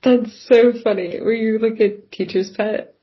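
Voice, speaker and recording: A woman's voice: a little high, fairly even intonation, unhurried, dull audio. A woman hosting an interview podcast, moving between scripted questions and casual remarks. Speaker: podcast host.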